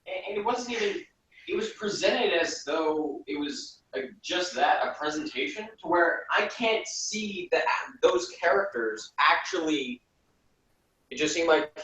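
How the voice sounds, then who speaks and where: A man's voice: a little high, animated, measured pace, pushed and bright. A man chatting casually as a reviewer, giving blunt, informal opinions. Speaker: podcast reviewer. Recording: dull, roomy, distant mic.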